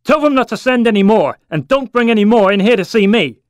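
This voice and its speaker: A man acts out a storybook stockbroker boss, brusque and distracted. His voice is pushed high and swings sharply, with urgent, clipped, impatient phrases that come fast. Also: lively intonation, clear, resonant tone, no room sound, studio quality. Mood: irritated, brusque. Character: hurried, distracted, brusque male New York stockbroker boss.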